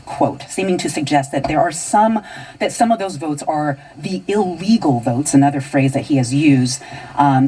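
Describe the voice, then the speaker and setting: A woman's voice: low voice, dark tone, breathy edge. An American woman anchoring live radio news, introducing guests and putting questions to them. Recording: distant car radio picked up by camera.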